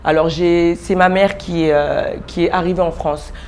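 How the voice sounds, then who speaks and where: Low-voiced, hesitant woman pastor from Congo. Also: fairly even intonation, normal speed, slight background noise. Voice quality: low, soft voice with frequent fillers and restarts. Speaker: pastor, guest. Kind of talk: hesitant interview storytelling.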